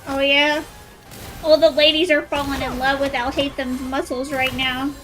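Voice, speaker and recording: A woman game streamer with a high voice chats casually as she plays. Her remarks are playful and slangy, and game music runs clearly behind her. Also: little pitch movement, normal speed, fairly bright tone, rough recording. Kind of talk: casual live gameplay commentary.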